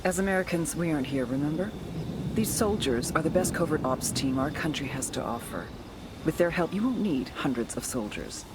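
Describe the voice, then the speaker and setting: A woman's voice: deep voice, lively intonation, fairly quick. Game cutscene role: blunt, world-weary female intelligence officer, frank briefings, engine noise behind. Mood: firm, businesslike. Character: hardened, blunt female CIA handler. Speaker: CIA field officer. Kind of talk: cinematic game dialogue. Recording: loud music, clear vehicle noise behind.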